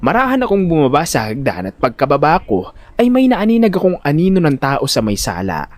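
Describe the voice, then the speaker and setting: Man acting an animated, anxious protective older brother. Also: brisk, dry recording, soft background music. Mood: tense. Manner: dramatic storytelling, exasperated and high-pitched in dialogue. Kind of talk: first-person audio drama narration with acted dialogue.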